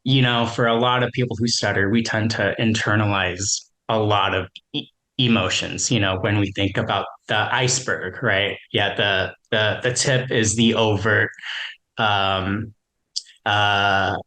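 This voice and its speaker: A man's voice: lowish voice, steady tone, measured pace, bright, forceful tone, rough recording. A man who stutters, sharing a personal reflection as a webinar panelist, with frequent repeats and fillers.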